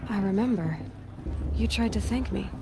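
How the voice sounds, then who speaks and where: An actress playing a stubborn, determined young outcast hunter in a video game, speaking directly and earnestly. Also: female voice, mid-range voice, moderate pace, background noise, close to an everyday voice. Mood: puzzled. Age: young adult. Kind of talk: naturalistic video game acting. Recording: music behind the voice.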